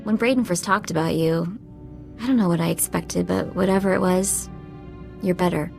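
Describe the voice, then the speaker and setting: Sassy young female sidekick for a video game, slightly low, informal and wry, light music behind. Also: normal speed, vocal fry. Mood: awkwardly warm. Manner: sarcastic, informal, expressive. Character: sassy young female slicer sidekick, street-smart, loyal.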